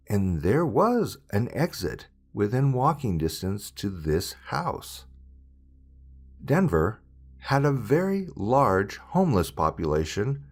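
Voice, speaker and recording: A man narrating scripted paranormal stories as a channel host, with a deliberate, dramatic storyteller delivery. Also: medium pitch, darkish tone.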